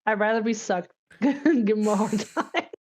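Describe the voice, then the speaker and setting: A woman's voice: medium pitch, moderate pace. A woman playing a tabletop game with playful chat and role-play, on slightly dull audio.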